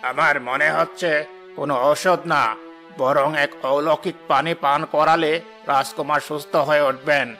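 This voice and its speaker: A man's voice: on the high side, steady tone, moderate pace, sharp tone. A man playing a solemn, respectful adviser to a king in a cartoon fairy tale. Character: solemn, deferential royal adviser. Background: background music.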